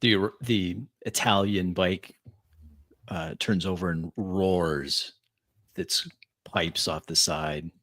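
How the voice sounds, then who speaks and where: A man's voice: deep voice, steady tone, slow pace, a bit bright. A man improvising first-person narration of his character's actions in a tabletop roleplaying game.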